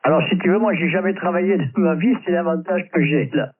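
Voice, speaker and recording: A man with a very high voice makes relaxed conversation as a radio amateur. The sound is narrow and tinny like shortwave, and he seems set back from the microphone. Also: fast, recorded from a distance, slight background noise. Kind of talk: casual ham radio chat. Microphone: radio transceiver microphone. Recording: tinny band-limited shortwave radio transmission.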